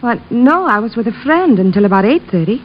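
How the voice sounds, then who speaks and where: A woman's voice: fairly high pitch, very animated, brisk. A woman playing a composed, coy wife of a murder suspect in 1950s radio drama style. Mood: startled, concerned. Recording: muffled, noisy old radio recording.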